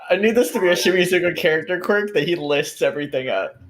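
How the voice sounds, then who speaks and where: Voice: medium pitch, quick pace, slight background noise. A tabletop roleplay player chatting casually and jokingly with the group during a game.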